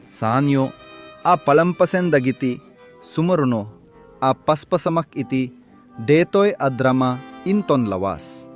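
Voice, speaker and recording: A male narrator tells a radio drama in a scripted, dramatic manner. His voice sits at a medium pitch with music playing behind it. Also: lively intonation, unhurried, long pauses. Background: music bed.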